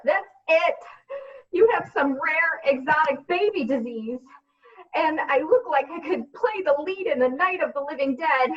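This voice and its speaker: Woman acting a high-pitched, exasperated frazzled young mother. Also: lively intonation, unhurried. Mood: weary despair. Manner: melodramatic, exasperated. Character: exhausted, frantic single mother of a baby. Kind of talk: comedic radio play acting. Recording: dull, distant mic.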